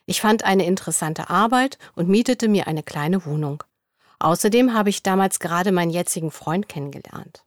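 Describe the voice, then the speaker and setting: A young woman in a studio, reading interview answers in a scripted dialogue at an even, clear pace. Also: lowish voice, normal speed, no echo.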